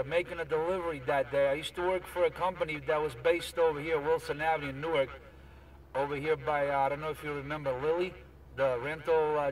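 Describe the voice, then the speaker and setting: A man talking over CB radio in a casual, storytelling way. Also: a little high. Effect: CB radio transmission. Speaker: CB radio operator, truck driver. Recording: distant, noisy CB radio.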